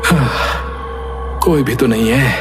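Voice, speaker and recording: A man's voice: mid-range voice, slow, music in the background, lightly acted. A man voicing a nervous lone traveller in a cartoon, dramatically talking to himself. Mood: uneasy. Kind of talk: Hindi cartoon voice acting.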